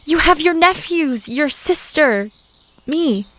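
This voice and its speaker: A woman playing an earnest, loyal confidant who gives measured, formally worded counsel in an audio drama. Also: high-pitched, lively intonation, fairly bright tone, no echo, heavily acted.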